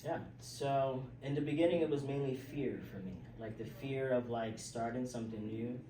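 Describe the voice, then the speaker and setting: A young man from the South Bronx, with Spanish as his first language, talks through his answers in an easygoing, chatty way and laughs often. His voice sits at a middle pitch. He is recorded a little off-mic in an echoey room with steady background noise. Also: moderate pace, far from the mic. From South Bronx, New York. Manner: relaxed, chatty, many "like" fillers, laughs often. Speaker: interview guest, healthcare worker. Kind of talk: casual interview answers.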